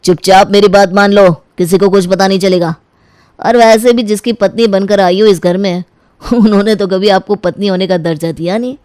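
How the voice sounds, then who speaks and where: A woman's voice: medium pitch, steady tone, normal speed, darkish tone, no echo, studio quality, close to an everyday voice. A woman playing a sly, lecherous young man, the brother-in-law in a story, with an insinuating, pushy manner. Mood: threatening, scornful.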